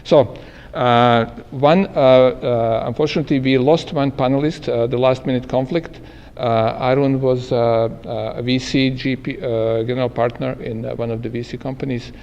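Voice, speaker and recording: A man moderating a panel at a live event, speaking haltingly with many filler sounds. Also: mid-range voice, steady tone, a little mellow, muffled recording. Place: meeting room at an event. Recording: echoey hall with long reverb.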